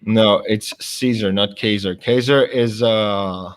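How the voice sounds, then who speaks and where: A man's voice: fairly low pitch, steady tone, slow pace. A man co-hosting a stream, chatting casually and joking around with plenty of fillers. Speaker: stream co-host.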